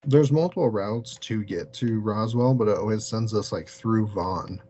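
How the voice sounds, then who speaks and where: A man telling a story casually as an interview guest, halting and peppering his sentences with "like". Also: fairly low pitch, mellow, muffled recording. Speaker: podcast guest, paranormal investigator.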